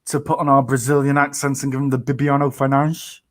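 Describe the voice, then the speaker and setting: A man's voice: mid-range voice, flat delivery, brisk. A man co-hosting a post-fight podcast, chatting casually and hedging his views with repeated phrases. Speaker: podcast co-host.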